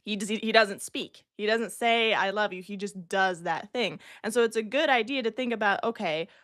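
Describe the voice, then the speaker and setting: Female co-presenter, medium pitch, chatty explanatory delivery with very informal, loosely built phrasing. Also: normal speed, a bit bright. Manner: informal, peppers talk with "like". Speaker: co-presenter in a writing discussion. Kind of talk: casual conversational explanation.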